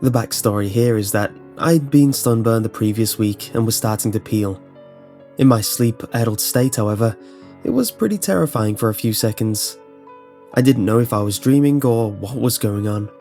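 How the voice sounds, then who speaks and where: A man's voice: on the low side, normal speed, dark tone. Man narrating creepy stories over music. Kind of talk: scripted horror narration, reading first-person anecdotes.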